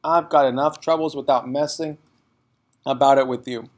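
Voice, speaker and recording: An irritable storybook father as a male reader acts him, loud and domineering. He snaps in curt, impatient bursts and bullies whoever he addresses. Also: mid-range voice, fairly even intonation, normal speed, close to an everyday voice. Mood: snapping, angry.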